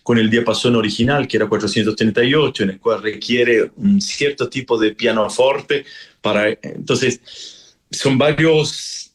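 A man's voice: mid-range voice, unhurried. A man from Chile, a classical singer explaining his craft in a radio interview, thoughtful and full of fillers.

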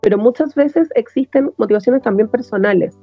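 A woman's voice: on the high side, a little fast, slightly rough, no room sound, lo-fi sound, faint music behind. A woman hosting an interview, talking fluently and conversationally. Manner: fluent, conversational, uses colloquial fillers. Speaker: interviewer, host. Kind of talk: interview chat.

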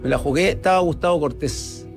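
A middle-aged man with a Chilean Spanish accent and a gravelly edge to his voice, talking in a relaxed interview. He reminisces in a chatty way and often repeats himself, with faint music running behind him. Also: on the high side, fairly quick. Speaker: guest, former footballer. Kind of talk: casual interview storytelling.

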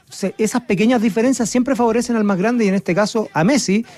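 A man's voice: high-pitched, a little mellow. A man with a Chilean accent hosting a casual chat about football. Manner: chatty, storytelling, drawn-out phrasing. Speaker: host.

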